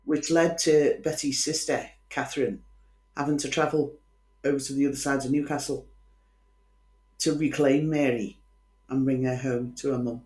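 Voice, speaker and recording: A woman's voice: extremely low pitch, fairly even intonation, normal speed, a little mellow. Scouse woman storyteller in an echoey room. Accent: Liverpool Scouse. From Liverpool, England. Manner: opinionated, wry asides. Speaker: host. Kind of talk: chatty true-crime storytelling.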